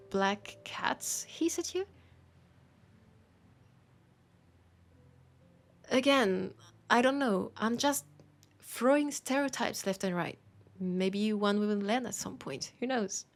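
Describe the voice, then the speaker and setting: A woman with a French accent playing a teasing, warm bookshop keeper in an intimate audio roleplay, soft and playful, often slipping toward a whisper. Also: medium pitch. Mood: playful, fond. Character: teasing, warm young-sounding human bookshop keeper, chatting to vampire.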